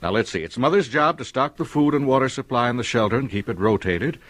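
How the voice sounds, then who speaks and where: An actor playing an orderly, fatherly family man in a mid-century public service radio drama, brisk and paternal. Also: medium pitch, expressive, a bit bright, no echo, close to an everyday voice. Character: orderly, fatherly 1950s family man instructing his household.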